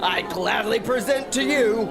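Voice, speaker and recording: A man's voice: extremely high pitch, a little slow. A man playing a grandiose carnival barker in an audio drama, drawing out words in showman's patter. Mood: showy, rousing. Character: grandiose carnival barker announcing a main attraction. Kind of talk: theatrical announcing in audio drama. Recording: loud music under the voice.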